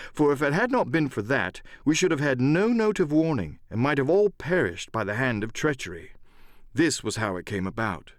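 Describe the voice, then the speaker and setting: A man narrating an adventure novel as dramatic, scripted storytelling in a measured literary style. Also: medium pitch, no echo, clean studio sound. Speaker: audiobook narrator and voice actor.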